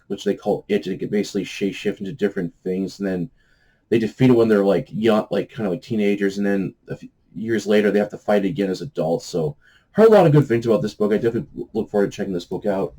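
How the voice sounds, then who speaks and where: A man hosting a book review vlog in a casual, off-the-cuff way, halting and meandering as he searches for words. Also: lowish voice, mellow, slightly rough. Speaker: host of a book review channel.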